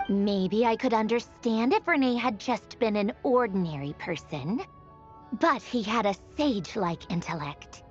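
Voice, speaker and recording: Wry, aloof storyteller, swooping intonation. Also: female voice, mid-range voice, dull audio. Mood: wry, disdainful. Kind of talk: scripted dramatic video game narration. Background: background music.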